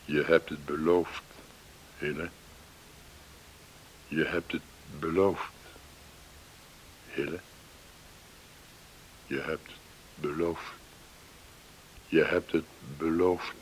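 An actor playing a solemn, accusing, ghostly voice in a Dutch radio drama, droning and ominous. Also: male voice, rumbling low, little pitch movement, extremely slow, long pauses, a little hiss or hum, heavily acted. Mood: haunting accusation. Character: solemn, haunting accusing male voice repeating a reproach. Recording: cavernous long reverb over music.

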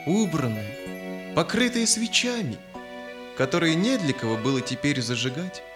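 A man narrating a scripted children's audio story in an expressive, dramatic manner. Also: a little high, unhurried. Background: background music.

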